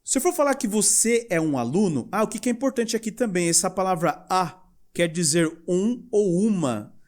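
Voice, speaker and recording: A young male English teacher with a Brazilian Portuguese accent, explaining grammar in a lively way and reading example sentences slowly. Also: high-pitched. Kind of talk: recorded language lesson.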